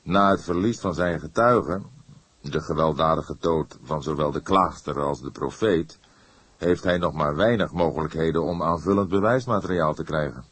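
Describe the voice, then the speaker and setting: A seasoned police inspector, acted by a male reader in a crime audiobook. His voice is deep and a little rough, recorded dry. He is thoughtful, wry and measured. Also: fairly bright tone, no echo, exaggerated voice. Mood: reasoning. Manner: measured, deliberate. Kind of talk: audiobook character acting.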